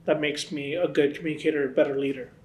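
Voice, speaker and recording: A man answers interview questions in a chatty, animated way, filling his sentences with "like." His voice sits a little high. The room gives off a noticeable echo. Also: quick pace, slight background noise. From India. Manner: chatty, animated retelling with many "like" fillers. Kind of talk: casual documentary interview. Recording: roomy echo.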